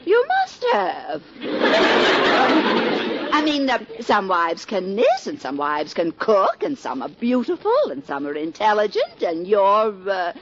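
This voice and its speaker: A woman's voice: medium pitch, wildly swooping pitch, slow pace, crowd noise, full character voice. An actress playing a meddling, sugary mother-in-law in a 1940s radio comedy, with affected, fluttery sweetness. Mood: condescending. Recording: muffled, noisy 1940s radio, studio audience.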